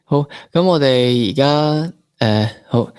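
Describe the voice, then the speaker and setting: A man's voice: mid-range voice, takes it slowly, a little mellow, clean tone, no room sound. Hong Kong man hosting, dry studio sound. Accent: Hong Kong Cantonese. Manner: informal, code-mixes English words. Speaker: podcast host. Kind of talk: casual interview hosting.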